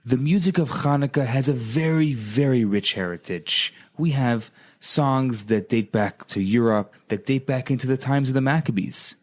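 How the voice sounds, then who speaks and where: Rabbi on talk radio, male, mid-pitched, earnest explainer. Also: normal speed, few pauses. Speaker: rabbi and co-host.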